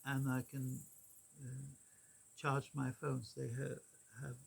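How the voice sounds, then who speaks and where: Slow gravelly man. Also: medium pitch, completely flat delivery, a little hiss or hum. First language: Swedish. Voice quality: gravelly, husky. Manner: halting, many pauses and fillers. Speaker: vlogger. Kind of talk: rambling casual monologue.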